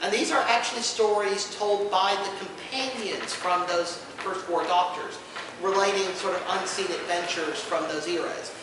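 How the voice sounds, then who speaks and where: A man giving an informal panel presentation to an audience, an enthusiastic explainer who speaks haltingly with many asides. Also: high-pitched. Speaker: convention panelist presenting audio drama releases. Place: indoor convention panel room. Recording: echoey room from far off, noisy.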